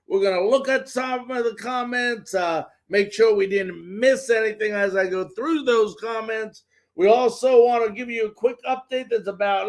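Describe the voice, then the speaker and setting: A man hosting a live sports talk show, giving updates and responding to viewer comments. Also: very high voice.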